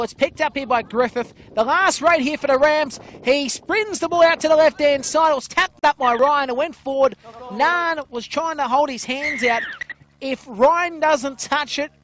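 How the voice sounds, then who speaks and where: Man, very high voice, loud play-by-play caller, filler-heavy, outdoor ground. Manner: loud voice, calls play excitedly, many "uh" fillers. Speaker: play-by-play commentator. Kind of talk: live rugby league commentary.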